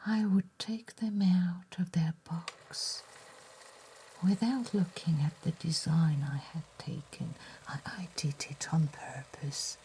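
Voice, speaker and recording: Casting: actress as nostalgic bookshop keeper, female, breathy ASMR whisper, low and extremely unhurried, genteel. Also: steady tone, warm tone, a little hiss or hum. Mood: fond nostalgia. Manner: very slow, deliberate, genteel phrasing. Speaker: ASMR roleplay performer. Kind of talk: soft-spoken whispered ASMR roleplay.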